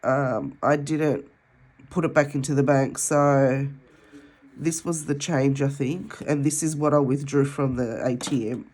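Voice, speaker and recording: Australian woman, congested nasal voice, low-pitched, unhurried rambling chat. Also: steady tone, a little mellow, slightly rough. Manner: rambling, chatty, with many ums. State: nasal from a head cold. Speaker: budgeting vlogger. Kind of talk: casual chat while counting cash.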